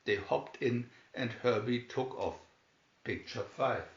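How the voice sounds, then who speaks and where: Slow-reading male storyteller in an echoey room. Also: medium pitch. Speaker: storybook narrator. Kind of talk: reading a children's adventure story aloud. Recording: dull, echoey room recording.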